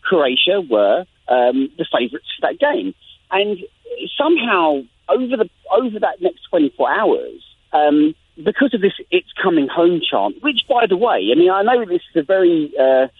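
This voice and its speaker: An Englishman with lively pitch over a tinny phone line. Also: normal speed, husky, no room sound. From England. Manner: animated, frequent restarts and repetitions. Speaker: guest journalist.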